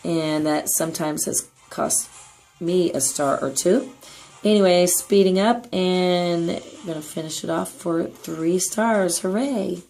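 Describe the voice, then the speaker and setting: A hoarse woman with a raspy voice gives a chatty, upbeat gameplay tutorial voice-over as clan leader. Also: lowish voice, slow pace, darkish tone, faint music behind, poor audio. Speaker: clan leader giving strategy tips.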